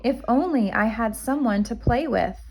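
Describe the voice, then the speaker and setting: A woman's voice: a little high, expressive, normal speed, darkish tone, husky. A woman plays a little storybook bear cub, male and very young. He is eager and a bit lonely, with simple, earnest speech. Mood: forlorn.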